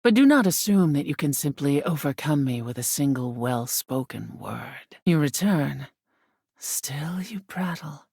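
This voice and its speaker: A woman voicing an ancient, aloof female spirit of air in a video game, with a husky, breathy voice that often sinks to a whisper and a solemn, formal delivery. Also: low-pitched, animated, measured pace, darkish tone, no room sound, clean studio sound, heavily acted. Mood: disdainful, aloof. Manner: languid, imperious, solemn, formal diction. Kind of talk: video game character acting.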